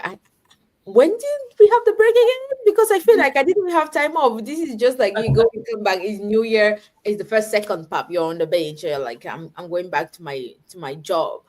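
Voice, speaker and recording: A woman from Cameroon, telling lively stories as a guest on a video call and often stumbling over her words. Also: mid-range voice, moderate pace. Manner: animated storytelling, stumbles and repeats words. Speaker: podcast guest. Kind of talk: casual video-call chat.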